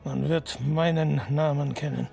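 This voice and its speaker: A man's voice: a little high, steady tone, unhurried, gravelly, mellow, soft background music. An actor playing an anxious, vain Persian king in a radio drama, brooding and intimate. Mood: proud, defiant.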